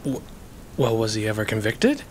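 An actor playing a guarded, suspicious ex-convict in a stage play, wary and restless, full of questions. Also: male voice, fairly low pitch, big pitch swings, moderate pace, darkish tone, slight background noise. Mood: wary, curious. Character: uneasy, guarded male ex-convict, defensive and suspicious.

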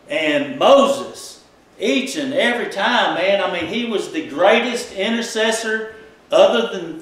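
A middle-aged man preaching in a small church, his fairly high voice bouncing around a very echoey room. He talks in a folksy, animated way, heard through a headset mic. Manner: folksy, animated, gesturing widely while preaching. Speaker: pastor. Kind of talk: sermon.

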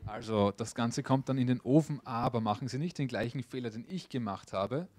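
A man interpreting a cooking class, speaking in a steady, matter-of-fact way in a classroom. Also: medium pitch, a little fast. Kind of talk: consecutive interpreting into German.

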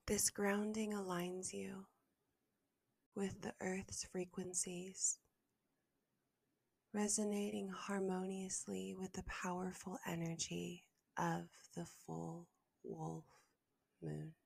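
A woman leading a guided meditation as host, speaking softly and gently in a breathy half-whisper with long, soothing pauses. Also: on the low side, little pitch movement, no room sound.

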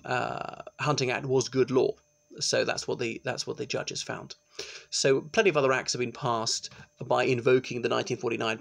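A middle-aged man, giving an informal law lecture to his webcam in a small room and often pausing with ums. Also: medium pitch, gravelly, fairly bright tone. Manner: chatty, explanatory, with frequent ums and restarts. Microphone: laptop webcam, close framing.

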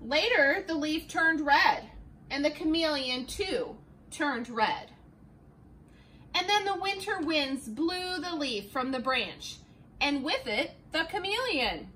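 A middle-aged woman teacher reading a picture book aloud in a classroom, slow and expressive, with the camera a few feet away. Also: high-pitched, a little hiss or hum, poor audio. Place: classroom, indoors.

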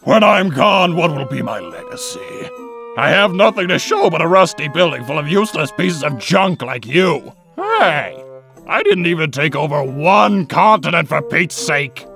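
A man's voice: fairly high pitch, expressive, measured pace, gravelly, sharp tone, faint music behind, full character voice. A man voicing a pompous, aging evil genius villain in a theatrical, grandiose comic dub style. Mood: melancholy, brooding.

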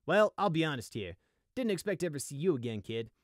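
A man acts a cocky griffin fighter from a storybook. He drawls casually and takes his time, his voice lifted above a low narrating register and recorded dry. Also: big pitch swings, fast, dry recording. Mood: casual, mocking. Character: cocky unhurried male griffin, masked resistance fighter. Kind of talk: storybook character acting.

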